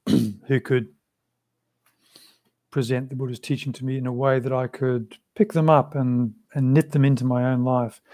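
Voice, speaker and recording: Calm, measured older man. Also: mid-range voice, no echo. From Australia. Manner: measured, thoughtful, unhurried. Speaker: interview guest, Buddhist practitioner. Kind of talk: reflective interview answers. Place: small room with a shrine, indoors. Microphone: video call.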